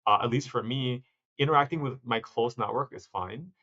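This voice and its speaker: Founder guest, male, mid pitch, reflective and halting, dull lo-fi audio. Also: pushed and bright. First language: not stated. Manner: reflective, many restarts and self-corrections. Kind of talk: conversational interview answers.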